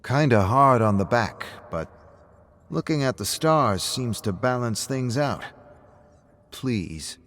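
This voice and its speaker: A man's voice: medium pitch, animated, takes it slowly, fairly bright tone, a little hiss or hum, pitched above the performer's own voice. A man voicing a reflective, talkative male friend who rambles philosophically in a story reading. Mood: gentle, musing. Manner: rambling, philosophical small talk.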